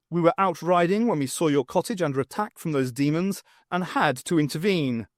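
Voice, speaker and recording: A man's voice: fairly high pitch, no room sound, clean studio sound, close to an everyday voice. Man playing an ardent, gallant young prince. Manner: breathless, ardent, declamatory.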